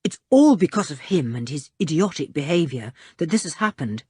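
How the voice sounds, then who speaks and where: Brief: woman voicing a protective teen brother, grim, firm, low-set. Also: big pitch swings, normal speed, dark tone, husky, no echo, clean studio sound, exaggerated voice. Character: stern protective older teenage brother.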